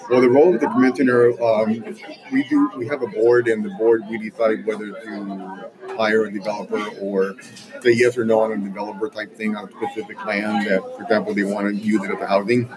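A middle-aged man from Los Angeles answering interview questions casually, in a halting, informal, rambling way. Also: fairly low pitch, level tone, poor audio. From Los Angeles area, United States. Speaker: interview guest, realtor. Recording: loud crowd chatter behind.

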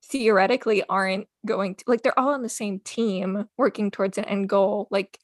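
A woman's voice: mid-range voice, steady tone, moderate pace, no room sound, studio quality. A woman co-hosting a podcast, talking casually as she discusses a book. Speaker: podcast co-host.

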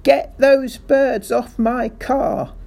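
A man's voice: very high-pitched, very slow, vocal fry, darkish tone, voice pushed up, heavily acted. Woman acting a bellowing, outraged rich landowner. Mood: furious, panicked. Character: furious, pompous wealthy landowner, screaming about his car.

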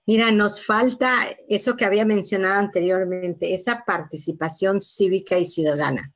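A woman's voice: medium pitch, steady tone, moderate pace. A woman speaking as a guest consultant on a podcast panel over a video call, direct and explanatory. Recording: dull lo-fi video call audio.

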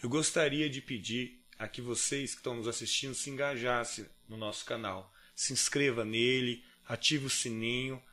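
Bright-voiced Brazilian podcast host. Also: male voice, medium pitch, fairly even intonation, moderate pace, few pauses. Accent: Brazilian Portuguese. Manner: brief affirmations, polite outro. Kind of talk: podcast hosting.

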